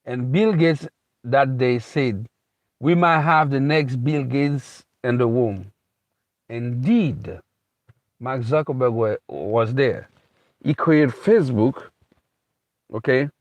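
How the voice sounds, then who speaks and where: A man's voice: medium pitch, slow pace, long pauses, no echo. A man from Haiti giving a rambling, unscripted motivational talk as a speaker, repeating himself and restarting phrases often. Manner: rambling, repetitive, frequent restarts and "you know". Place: lives in America.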